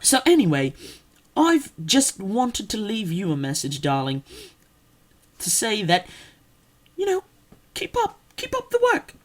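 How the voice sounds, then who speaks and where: A woman's voice: mid-range voice, wildly swooping pitch, a little slow, pauses often. A woman putting on a British accent as a posh, condescending lady, over-enunciating theatrically in a video monologue. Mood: condescending, playful. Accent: put-on British. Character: posh, overpronouncing British woman giving haughty advice. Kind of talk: video response monologue to camera.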